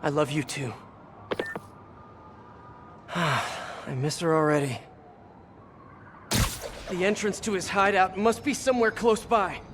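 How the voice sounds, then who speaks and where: A man's voice: high voice, slow, long pauses, noisy background. An actor playing a young, good-hearted American superhero in a video game, earnest and quippy as he talks. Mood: tender, worried. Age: young adult. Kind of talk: video game voice acting, talking to himself. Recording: loud music behind the voice. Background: game music.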